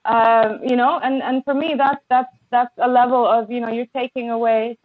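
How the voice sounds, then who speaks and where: A woman guest on a podcast, speaking with passion and many halting restarts over a remote call. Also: on the high side, steady tone, dry recording. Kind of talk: impassioned conversation. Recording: tinny phone-like line, dry.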